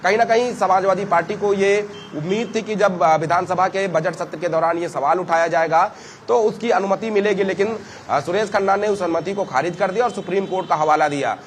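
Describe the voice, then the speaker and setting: Male field reporter, North Indian accent, high pitch, halting live-report delivery, faint noise behind. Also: fairly even intonation.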